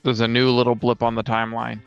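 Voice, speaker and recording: A man's voice: mid-range voice, completely flat delivery, quick pace, vocal fry, smooth. A man co-hosting a casual gaming chat, explaining things conversationally as one of the players. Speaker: podcast co-host and player. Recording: slightly dull audio, music behind. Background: music.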